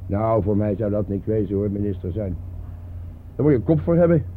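A man's voice: lowish voice, animated, brisk, lightly acted. A man playing a chatty, grumbling, resigned working-class taxi driver in a radio play. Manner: garrulous, colloquial, shrugging. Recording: narrow-band old radio play, faint noise.

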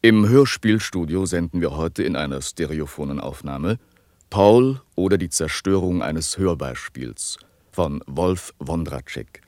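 A formal male evening announcer presents in measured phrases. His voice is very deep and recorded dry and close. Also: animated, a little mellow, dry recording.

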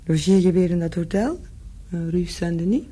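A woman's voice: lowish voice, normal speed, mellow, breathy edge. An actress in a radio play as a worldly, flirtatious Parisian woman of the night, teasing and nonchalant, with a wry edge. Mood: flirtatious, inviting.

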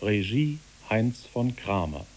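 A man reading out radio play credits as a formal, measured announcer in a 1960s broadcast style. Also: fairly low pitch, sing-song, a little mellow, breathy edge.

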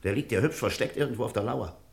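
An actor playing an insistent, impatient friend with a gravelly, husky voice in a German radio crime drama. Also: male voice, medium pitch, fast talker. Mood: exasperated. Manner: urgent, admonishing, commanding. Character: insistent, impatient male friend urging caution.

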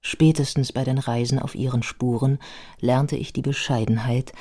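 A man playing a reflective biographer who narrates a radio play in sober literary prose, with a husky voice. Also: mid-range voice, steady tone, moderate pace, warm tone, close to an everyday voice. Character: reflective biographer recounting a life with sober sympathy. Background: music.

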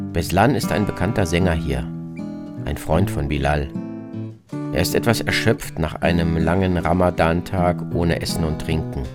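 A very low-pitched narrator reads a first-person travel account for a radio feature. Plucked guitar music plays prominently behind the words.

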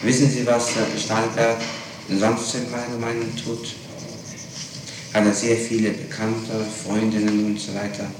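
A man's voice: on the low side, monotone, unhurried. A man questioning a witness as a police interrogator, formal, probing and pedantic, recorded on an old 1960s tape. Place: reverberant room, far from microphone. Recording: noisy, echoing 1960s interrogation tape, distant.

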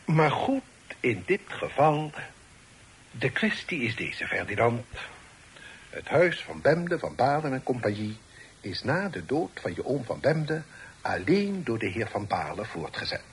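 Authoritative father, animated intonation. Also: medium pitch, a little slow, slight background noise. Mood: businesslike, firm. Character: authoritative, worldly father advising his grown son. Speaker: radio drama actor. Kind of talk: Dutch radio play acting.

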